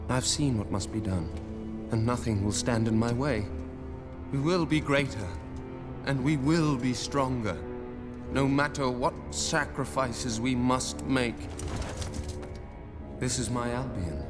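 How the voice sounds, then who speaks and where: Slow, menacing tyrant king. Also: lowish voice. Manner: solemn, menacing, declamatory. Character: tyrannical king, cold, menacing, iron-willed. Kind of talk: dramatic video game monologue. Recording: long hall reverb, music behind.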